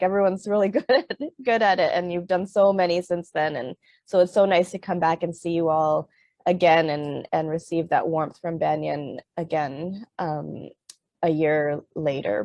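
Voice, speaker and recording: Middle-aged woman from canada on a panel, lowish voice, dry sound, lots of ums. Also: darkish tone, no echo. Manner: hesitant, many fillers and restarts. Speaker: author and panelist. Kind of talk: panel talk and reading from her book. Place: Vancouver.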